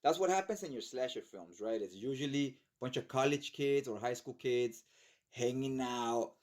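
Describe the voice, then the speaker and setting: A man's voice: medium pitch, normal speed, a little mellow. A man with a mid-range voice presents a collector's guide in an off-the-cuff way. He is chatty and informal, talking straight to the viewer and often tacking a little check-in onto the end of his sentences. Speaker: comic book collector presenting a reading guide. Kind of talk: casual unscripted video overview.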